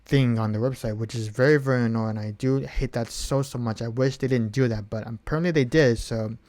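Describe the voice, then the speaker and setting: A man talks to his stream viewers in a relaxed, unscripted way. His voice sits in an ordinary middle range, and he rambles, repeating words and filling the pauses with ums and uhs. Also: medium pitch. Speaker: streamer taking song requests. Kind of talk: casual live-stream chat.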